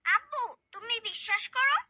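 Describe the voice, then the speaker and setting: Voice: extremely high pitch, lively intonation, normal speed, pushed and bright, full character voice. A performer voicing a curious, cheeky, credulous little boy in a cartoon, chirpy and inquisitive. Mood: excited, insistent.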